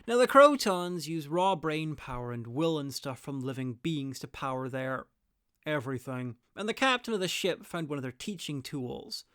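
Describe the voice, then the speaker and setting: A man narrates a scripted review with wry, opinionated asides. His voice is fairly high, smooth and crisp, in a very dry recording. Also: a bit bright, dry recording. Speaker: review host.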